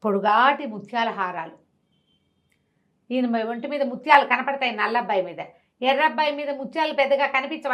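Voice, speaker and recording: Woman telling a story, kinda high voice, goes up and down a lot, repeats herself. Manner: lively, rises and drops in pitch and volume; repeats words and restarts. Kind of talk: telling a devotional story to an interviewer.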